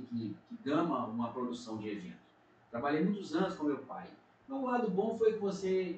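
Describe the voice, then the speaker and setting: Echoey distant Brazilian pastor. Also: male voice, a little high, muffled recording. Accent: Brazilian Portuguese, Minas Gerais. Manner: earnest, frequent tag questions. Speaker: pastor, podcast guest. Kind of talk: testimony in casual conversation. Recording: echoey room, distant mic, faint noise.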